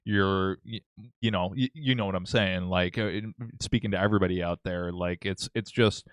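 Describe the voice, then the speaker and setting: Low, smooth-voiced blunt man. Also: fairly even intonation, normal speed, no echo. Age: 30s. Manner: blunt, philosophical, matter-of-fact. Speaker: podcast guest. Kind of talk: casual podcast chat.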